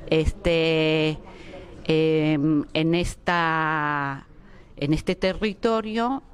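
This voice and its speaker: A woman with a slightly low voice talks very slowly in an interview. She hesitates often and fills her pauses while she searches for words. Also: fairly even intonation. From Argentina. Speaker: interviewee, education researcher. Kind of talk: slow, thoughtful interview answers.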